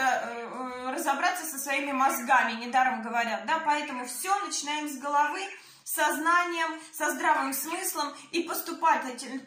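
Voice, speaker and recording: A woman in her thirties talks straight to a phone camera from a small room, earnestly laying out a wellness program step by step. Her voice sits high and sounds bright and forceful. The room adds a noticeable echo, and she fills her pauses with hesitant "uh" sounds. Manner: earnest, lecturing with many hand gestures and hesitant "uh" fillers. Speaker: health group curator. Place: small indoor room at a desk.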